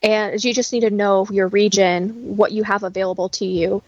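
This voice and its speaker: A woman's voice: mid-range voice, fairly even intonation, normal speed, dry recording, muffled recording. A woman talking casually and instructively, in a matter-of-fact way, as a guest who teaches practical skills. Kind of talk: casual conversational explaining.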